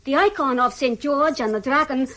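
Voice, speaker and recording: An actress playing a dutiful, self-assured Soviet research officer, formal in manner, in a radio drama. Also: female voice, high voice, moderate pace, fairly bright tone. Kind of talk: British radio drama acting.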